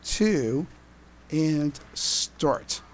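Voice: mid-range voice, animated, extremely slow, a little hiss or hum. A fan podcast co-host explaining things casually, stammering and repeating words.

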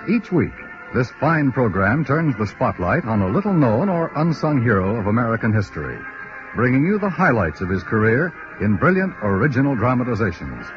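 A man's voice: mid-range voice, sing-song, normal speed. A man announcing in formal, emphatic 1950s radio style. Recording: dull old radio broadcast recording. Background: music.

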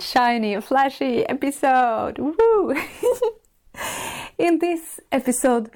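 Woman, swedish accent, unhurried pace, podcast host, small restarts while speaking english. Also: mid-range voice. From Sweden. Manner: unhurried, gently searching for words with small restarts. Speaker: podcast host and life coach. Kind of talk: solo podcast monologue.

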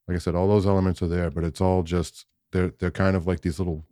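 Man in his late thirties, very low voice, dry close sound, rambling casual podcast chat. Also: flat delivery, fast, dark tone, dry recording. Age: 30s. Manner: rambling, self-deprecating, many fillers. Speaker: podcast co-host.

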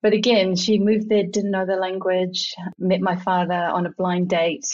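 A woman's voice: lowish voice, level tone, moderate pace, a little mellow. A middle-aged woman from Samoa, talking reflectively as an interview guest, laughing often and sometimes restarting her sentences. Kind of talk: conversational interview. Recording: dull lo-fi sound.

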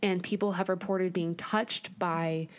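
A woman's voice: on the low side, fairly even intonation, measured pace, clear, resonant tone. A woman co-hosting a podcast, reading through researched notes in a casual, earnest way with small stumbles and asides. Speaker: podcast co-host.

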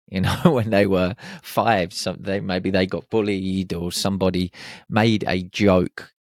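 A man hosting a casual podcast interview, relaxed, often giving short agreements. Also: low voice, creaky, no room sound, studio quality. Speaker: podcast host.

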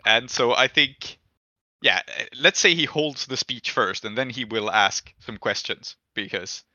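A man running a tabletop game as game master, improvising narration in casual, halting speech as he thinks aloud. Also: mid-range voice, pushed and bright. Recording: echoey room, muffled dull audio.

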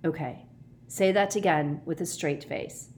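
A woman's voice: low-pitched, measured pace, darkish tone, slight background noise. A woman voicing a sensible, blunt teenage boy in a storybook reading, incredulous and impatient. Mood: bewildered. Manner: incredulous, blunt, exasperated questioning.

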